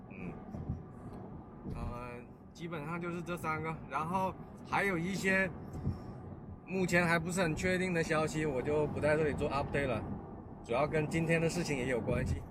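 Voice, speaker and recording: Man vlogging casually over loud vehicle noise. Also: fairly high pitch, moderate pace. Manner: switches into English words mid-sentence. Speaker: host of a pilot vlog. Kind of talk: casual vlog update to viewers.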